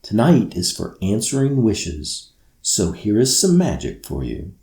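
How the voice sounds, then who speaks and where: A man's voice: lowish voice, huge pitch swings, a little slow, mellow, full character voice. A man voicing a playful, mysterious magical guide to children from a storybook, with a grand, teasing delivery. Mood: grand, inviting. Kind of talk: storybook character voice. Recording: roomy echo.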